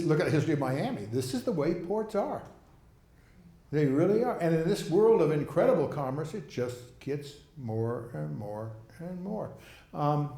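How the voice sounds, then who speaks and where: An older man delivers a loose, rambling lecture on policy, laughing softly at his own stories. His voice sits a little high for a man. The sound is roomy, like a hall. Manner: rambling, chuckling asides. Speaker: speaker on foreign policy. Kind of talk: lecture answering audience questions.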